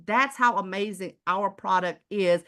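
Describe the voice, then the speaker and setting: A woman with a Texas accent giving a live online sales presentation, using many rhetorical questions and calling out to her listeners as ladies and gentlemen. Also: mid-range voice, measured pace. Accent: Texan. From Houston, Texas. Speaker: presenter selling water filters.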